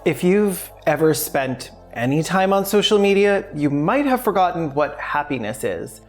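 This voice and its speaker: Low-voiced woman narrating over music. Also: very animated, normal speed. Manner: wry, sweary, conversational. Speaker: video essayist, host. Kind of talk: scripted video essay narration with wry casual asides. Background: music behind the voice.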